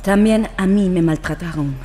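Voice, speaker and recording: An actress playing a mistreated young French prisoner who gives earnest, measured testimony in an audio drama. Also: female voice, lowish voice, mellow, a little hiss or hum, close to an everyday voice. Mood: aggrieved, recounting.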